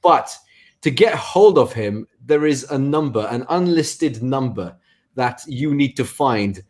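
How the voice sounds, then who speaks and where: A man's voice: mid-range voice, a little mellow. Rambling male co-host telling a story. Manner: rambling storyteller, restarts often. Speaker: show co-host. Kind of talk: casual online comedy chat.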